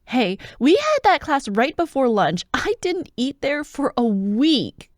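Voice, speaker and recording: امرأة تؤدي شابة مرحة، صوتها عالٍ ومتلوّن النبرة. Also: female voice, high voice, slow, no room sound, clean studio sound, exaggerated voice. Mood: squeamish, playful. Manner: animated, expressive, teasing, with laughs and sighs. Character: bubbly, bossy young woman, Halloween-loving childhood friend, playfully teasing. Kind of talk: ASMR roleplay, one-sided acted conversation.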